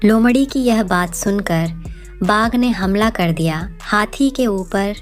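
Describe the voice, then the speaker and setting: Slow-paced woman storyteller with music. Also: medium pitch. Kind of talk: scripted children's story narration. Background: plucked string music.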